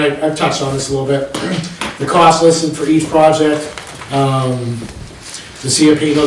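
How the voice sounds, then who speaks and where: A man on a planning board walks a committee through a budget plan at a public meeting, explaining page by page with frequent ums. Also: on the high side. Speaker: planning board member presenting. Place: town meeting room. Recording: echoey meeting room from far off, noisy.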